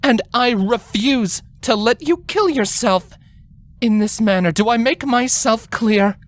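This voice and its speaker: A woman's voice: fairly high pitch, a little slow, pitched above the performer's own voice, lightly acted. A woman voicing a stern, regal elder alicorn queen, grim and commanding, in a dramatic fantasy story reading. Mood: wrathful, authoritative. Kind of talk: dramatic fan fiction reading.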